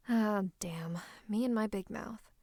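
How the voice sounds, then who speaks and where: A woman playing a scheming royal advisor in a fantasy roleplay, speaking close to the listener in a coaxing, teasing, falsely comforting way with breathy asides. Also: mid-range voice, warm tone, no echo. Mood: flustered, conspiratorial. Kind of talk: roleplay ASMR monologue to the listener. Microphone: close microphone.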